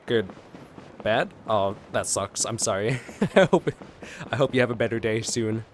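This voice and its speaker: A man's voice: fairly low pitch, lively intonation, measured pace, slight background noise. A man giving casual, jokey gaming reaction commentary, talking back at the characters. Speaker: reactor, video host.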